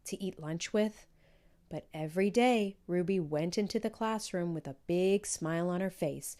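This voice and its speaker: A woman reading a children's book aloud in measured, clearly phrased storytelling narration. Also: fairly low pitch, dark tone. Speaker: storybook reader.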